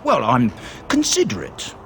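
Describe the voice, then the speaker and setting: A shifty, nervous game merchant whose pitch swoops about. He talks slowly and hesitantly, stammering as he dodges, with faint noise behind him. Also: wildly swooping pitch, a bit bright. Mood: evasive, uneasy. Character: nervous, evasive male merchant of used armor. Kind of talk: video game voice acting.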